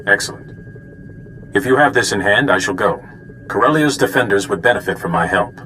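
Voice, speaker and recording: An actor playing a formal, dignified commander in a video game, solemn in manner. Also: male voice, fairly low pitch, animated, brisk, bright, forceful tone, a little hiss or hum. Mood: grave, confident. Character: formal, dignified male military commander giving a mission. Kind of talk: scripted video game acting.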